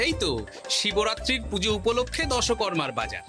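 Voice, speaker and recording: A man playing a clever, principled court jester in a comedy cartoon, speaking in a deliberate way. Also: high-pitched, sing-song. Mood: puzzled, thoughtful. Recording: music behind the voice.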